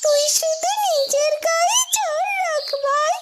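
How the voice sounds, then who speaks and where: A woman's voice: extremely high pitch, slow, pitched above the performer's own voice. A woman voicing a cheerful, teasing little talking bird in a fairytale cartoon.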